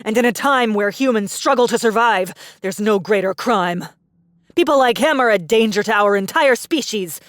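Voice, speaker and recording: A woman's voice: mid-range voice, moderate pace, no room sound, clean studio sound, lightly acted. An actress playing a young, hard-willed, distrustful female survivor, firm and accusing, in an audiobook drama.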